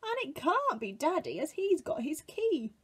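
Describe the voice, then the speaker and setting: Woman reading as an obliging storybook mummy, high-pitched. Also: very animated, moderate pace. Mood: curious. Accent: British. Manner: chatty, obliging, wondering aloud. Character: friendly, welcoming young mother in a storybook.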